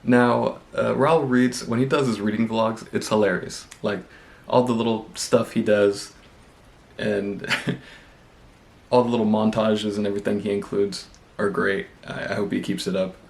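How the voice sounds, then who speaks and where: A young man with a cold, congested, chatting casually and rambling in a relaxed, self-deprecating way as a book vlogger. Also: on the low side, unhurried, gravelly. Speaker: booktube vlogger. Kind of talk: casual vlog chat.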